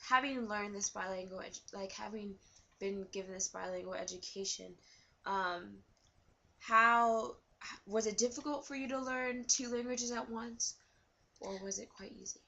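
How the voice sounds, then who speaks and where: A young woman student interviewing someone for a class, asking halting questions with many fillers. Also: mid-range voice, fairly even intonation, vocal fry, sharp tone, muffled recording. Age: young adult.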